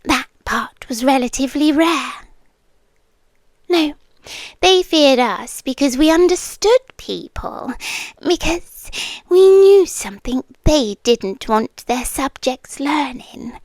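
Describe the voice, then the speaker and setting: An age-old female monster with a possessive, teasing streak played by a woman in an ASMR roleplay. Her British voice is high and hushed, often slipping toward a whisper. She takes her time with every phrase, close to the mic in a very dry recording. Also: lively intonation, no room sound. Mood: quietly menacing. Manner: slow, hushed, deliberate, often dropping into whispers. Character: ancient female predator fae, possessive, teasing yandere girlfriend. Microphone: close microphone, dry.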